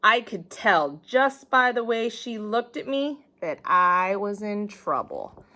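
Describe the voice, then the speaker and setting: Expressive woman reading a children's book. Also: medium pitch, takes it slowly. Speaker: reader. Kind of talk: children's book read aloud.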